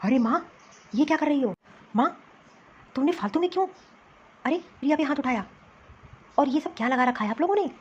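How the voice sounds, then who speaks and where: A woman's voice: high voice, animated, a little fast, pauses often, highs cut off. A woman voicing a protective adult son and husband in a cartoon family drama, reproachful and insistent. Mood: indignant. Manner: remonstrating, insistent.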